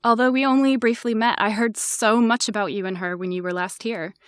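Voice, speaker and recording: A woman acts as a composed president of an alien planet in a sci-fi audio drama. She is formal and measured, with a fairly high voice in a dry recording. Her manner is courteous and diplomatic. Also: fairly even intonation, clear, resonant tone, no room sound, natural voice. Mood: formal, gracious.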